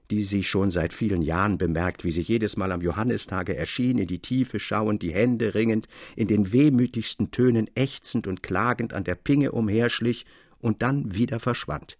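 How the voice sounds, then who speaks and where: A man giving a measured, solemn literary narration, as for an audiobook. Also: mid-range voice, dry recording, clean studio sound.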